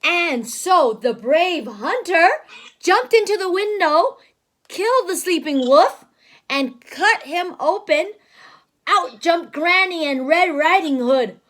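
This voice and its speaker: High, very animated woman reading to a child. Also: slow pace. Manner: exaggerated, expressive, gasping, simple child-directed speech. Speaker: teacher reading aloud to a child. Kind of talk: animated storybook read-aloud with asides to a child.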